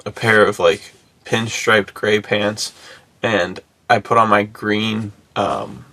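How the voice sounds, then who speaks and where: A man's voice: on the low side, steady tone, slow, gravelly, pushed and bright. A man hosting a podcast in casual chat, talking in a laid-back, slangy way with lots of "dude". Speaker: podcast host.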